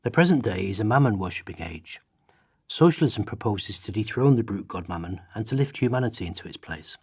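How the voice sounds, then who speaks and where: A man playing an earnest radical orator and writer from history in a radio play, with deliberate, declamatory delivery. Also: lowish voice, lively intonation, darkish tone, husky, no echo, studio quality.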